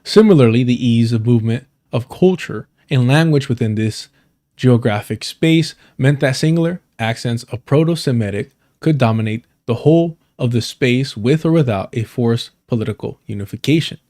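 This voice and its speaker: A man's voice: medium pitch, normal speed, mellow. A man hosting a livestream, reading an article aloud, often stumbling over words and correcting himself. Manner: stumbling reader who corrects himself and apologizes. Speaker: livestream host.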